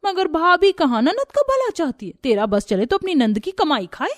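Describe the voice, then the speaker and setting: A woman voicing a spiteful, sharp-tongued older neighbour aunt with a taunting manner in a family story. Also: very high-pitched, sing-song, moderate pace, no echo, studio quality, pitched above the performer's own voice.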